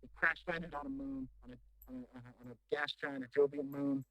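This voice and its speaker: A man's voice: medium pitch, steady tone, a little fast, bright, forceful tone, no room sound, natural voice, low-quality recording. A man playing a desperate, shaken corporate agent stranded after a crash, stammering and pleading in a recorded sci-fi distress message. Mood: shaken, subdued.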